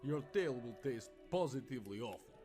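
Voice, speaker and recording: Storybook lieutenant, acted by a male narrator: honorable, uneasy, courteous, formal, with animated pitch. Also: moderate pace, over music, natural voice. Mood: reluctant. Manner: polite, formal, hesitant. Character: polite, uneasy, honorable Russian army lieutenant.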